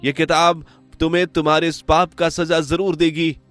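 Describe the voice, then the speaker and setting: A man voicing an honest, wronged young prince in an animated story, pleading and defiant. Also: on the high side, moderate pace, faint music behind, close to an everyday voice. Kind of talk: animated moral story voice acting.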